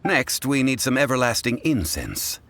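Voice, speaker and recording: A man voicing a composed, courteous, scholarly gentleman in a video game, speaking in measured, formal, old-fashioned phrases. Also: mid-range voice, big pitch swings, music in the background. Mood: courteous, explaining. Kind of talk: scripted video game dialogue.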